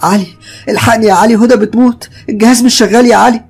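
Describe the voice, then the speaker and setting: Brief: actress as a desperate Egyptian young wife, high, shrill and fast. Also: female voice, fairly even intonation, a little mellow, husky, soft background music. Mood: frantic.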